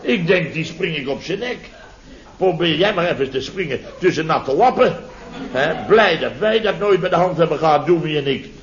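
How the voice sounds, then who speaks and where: A blustering contractor in his fifties, his voice on the high side. He is indignant and self-important, hammering his phrases again and again in old radio comedy style, on a dull vintage recording. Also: animated, normal speed. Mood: exasperated. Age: 50s. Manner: repeats himself, exclaims, self-important. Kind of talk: 1970s radio comedy acting.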